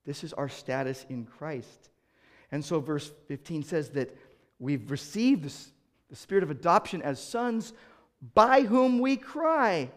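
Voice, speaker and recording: High-voiced man preaching with emphasis. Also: expressive, measured pace. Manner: emphatic preaching, swings between loud declarations and hushed asides; repeats words when starting sentences. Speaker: pastor. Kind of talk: sermon.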